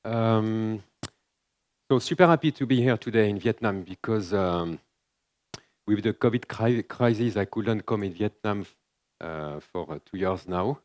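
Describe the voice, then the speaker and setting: A man with a French accent delivers a keynote in a large conference hall, his English halting and full of fillers and restarts. His voice sits a little low. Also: darkish tone. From France. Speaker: conference speaker.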